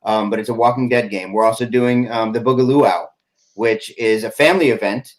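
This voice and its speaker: A man's voice: lowish voice, steady tone, a little fast, rough recording. A man in his forties who owns an airsoft field, chatting casually on a video call podcast and rambling with many fillers and restarts. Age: 40s.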